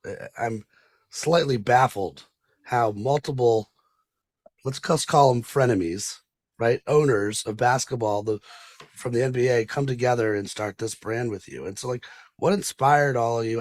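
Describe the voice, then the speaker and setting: A man hosting a podcast, asking questions in a loose, halting way with many fillers. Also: medium pitch, no echo. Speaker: podcast host. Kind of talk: interview questions.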